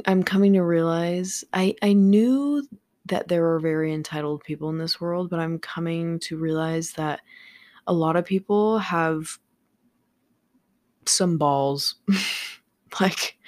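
A tired, slightly raspy young woman rambling through a casual solo podcast late at night, swearing freely and filling gaps with lots of ums. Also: lowish voice, darkish tone, dry recording, studio quality. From Southern California. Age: young adult. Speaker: podcast host. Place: home room with a fan running. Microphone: studio microphone without pop filter.

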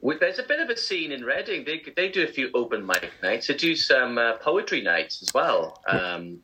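A man's voice: mid-range voice, sing-song, moderate pace, bright, forceful tone, a little hiss or hum, low-quality recording. A man co-hosting a casual radio show, playful and laughing often. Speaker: co-host.

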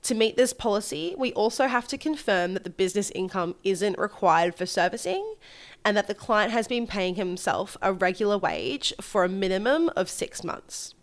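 An Australian woman with a slight head cold, presenting a scripted solo podcast as co-host and mortgage broker. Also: medium pitch. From Gold Coast, Australia.

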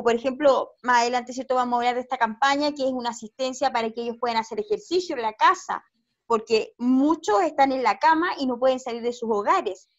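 High-voiced woman interviewer, dull audio. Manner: wordy, roundabout questions. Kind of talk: conversational interview.

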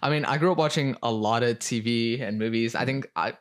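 A young man co-hosting a casual podcast, speaking with lots of likes and fillers. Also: mid-range voice. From United States. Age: young adult. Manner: quick, filler-heavy, says like often. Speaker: podcast co-host.

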